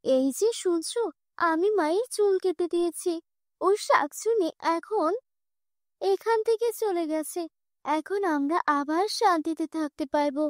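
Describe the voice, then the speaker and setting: A woman's voice: very high voice, unhurried, no echo, studio quality. A woman voicing an anxious young daughter-in-law in a cartoon horror tale, earnest and pleading. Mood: relieved.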